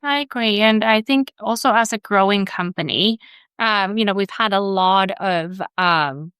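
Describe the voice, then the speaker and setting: A woman's voice: mid-range voice, unhurried, a bit bright, clear, resonant tone, no room sound, studio quality. A woman from Sweden who grew up there and now works in HR, answering interview questions in a hesitant way with many fillers and restarts. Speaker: HR guest.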